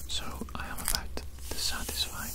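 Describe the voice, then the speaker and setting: A man in his thirties playing an evil mad doctor in a whispered ASMR roleplay, hushed, rambling and repetitive, with mock-polite menace. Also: moderate pace. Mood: soothing, creepy. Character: evil, contemptuous male mad doctor, softly menacing yet soothing.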